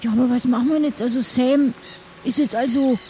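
A woman's voice: high voice, steady tone, a little fast, warm tone, a little hiss or hum. A woman playing in an improvised role-play, talking casually in character.

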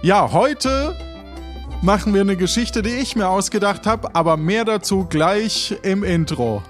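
A man's voice: sits high, lively intonation, unhurried, over loud music. A man hosting an improvised audio adventure show, introducing the story in a presenter's storytelling style.

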